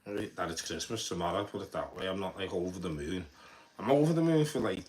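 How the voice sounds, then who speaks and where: A man's voice: deep voice, expressive, a little fast, a little mellow. A young man with a Liverpool accent chatting casually to viewers on a live stream, rambling, repeating words and swearing offhandedly. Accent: Liverpool (Scouse). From Liverpool, England. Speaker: livestreamer. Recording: faint background noise.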